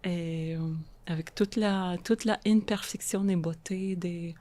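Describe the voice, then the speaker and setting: Slow, halting woman. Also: on the low side, fairly even intonation, mellow. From Moldova. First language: Russian. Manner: halting, frequent restarts and fillers. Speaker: guest, social worker and art therapist. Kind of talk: podcast interview.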